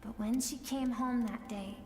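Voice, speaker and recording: Female game narrator: solemn, wistful, measured, echoing over music. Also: fairly high pitch, fairly even intonation. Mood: somber narration. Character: solemn female storyteller recounting a tragic past. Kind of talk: scripted dramatic game narration. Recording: echoey long reverb, music behind.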